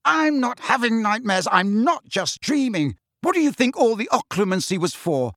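An angry teenage wizard boy shouts his friends down, defiant and urgent, as a male audiobook reader puts him on. The voice lifts high and swings wildly with each outburst. It sounds close and dry. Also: very animated, normal speed, no room sound, heavily acted. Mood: furious.

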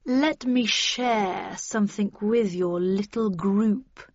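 A woman's voice: mid-range voice, slow. A woman voicing an arrogant, sardonic male villain and film star, declaiming pompously in an audiobook. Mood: sardonic, scornful. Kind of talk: audiobook character dialogue. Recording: dull, band-limited audio.

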